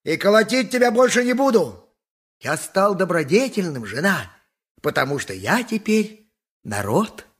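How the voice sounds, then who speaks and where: An actor in a radio play playing a boastful, tipsy donkey driver, a rough, wheedling husband with a theatrical, mocking manner and a husky voice. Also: male voice, sits high, sing-song, unhurried, full character voice. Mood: wheedling, crowing. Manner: theatrical, mocking, swinging between crowing and muttering. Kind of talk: radio play acting, 1980s.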